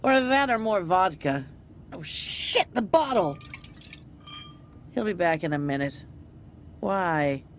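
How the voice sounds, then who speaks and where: A woman's voice: fairly low pitch, lively intonation, slow pace, long pauses, a little hiss or hum. An actress playing a middle-aged, hard-drinking single mother, defensive and foul-mouthed, in an audio drama. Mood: suspicious, defensive.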